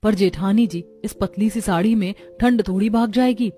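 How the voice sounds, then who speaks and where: A woman performing family dialogue for an animated story. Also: mid-range voice, normal speed, soft background music. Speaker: voice of the women of a family. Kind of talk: acted Hindi cartoon story dialogue.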